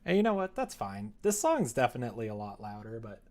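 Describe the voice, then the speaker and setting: A young adult man chatting casually on a livestream as he works, muttering to himself and then growing animated. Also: mid-range voice, brisk. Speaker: livestreamer developing a visual novel. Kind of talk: casual livestream chat while working.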